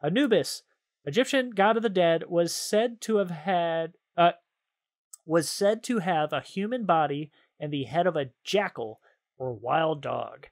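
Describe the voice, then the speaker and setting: A man's voice: on the high side, unhurried. A man hosting a podcast in casual chat, playful and jokey, reading out trivia cards and often amused. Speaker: podcast host and quizmaster.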